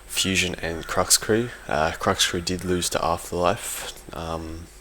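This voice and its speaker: A man's voice: deep voice, fairly even intonation, slow pace, sharp tone, slight background noise. A man giving casual, unscripted commentary on competitive gaming in a hesitant, informal way, often correcting himself. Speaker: competitive gaming team member giving an audio log.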